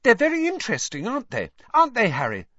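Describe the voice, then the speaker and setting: A man voicing a quick, anxious teenage girl. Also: a little high, big pitch swings, a bit bright, husky, dry recording, pitched above the performer's own voice, exaggerated voice. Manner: quick, urgent. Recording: slightly dull, dry studio audio.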